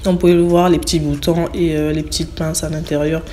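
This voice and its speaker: A young woman with a cold, narrating a hair tutorial voice-over in a relaxed, step-by-step way. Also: deep voice, fast, a little hiss or hum. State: has a cold. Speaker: beauty YouTuber.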